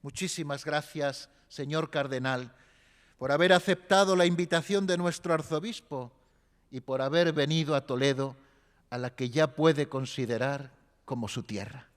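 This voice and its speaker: A man's voice: fairly high pitch, normal speed. A man presenting a speaker at a church event, reading a solemn, prepared introduction. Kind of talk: formal introduction. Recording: long reverb of a large hall.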